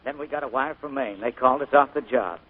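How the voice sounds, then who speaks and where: A man plays a tender, casual young detective in an old radio drama. His voice is medium in pitch and gently delivered. The recording is narrow and scratchy, with faint noise from a 1940s broadcast. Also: quick pace, lightly acted. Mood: easy, tender.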